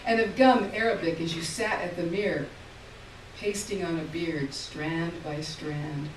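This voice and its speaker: A woman reading a literary short story aloud at a live event, expressive, with emphasis on key words and pauses between short phrases. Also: fairly low pitch. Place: live stage reading in a room. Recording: roomy, distant mic, faint noise.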